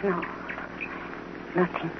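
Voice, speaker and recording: A woman playing a dying, remorseful female outlaw in a radio drama, speaking faintly and haltingly as her strength fails. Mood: weak, remorseful. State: weakening, near death. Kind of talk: 1950s radio drama acting. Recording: dull, noisy old radio recording.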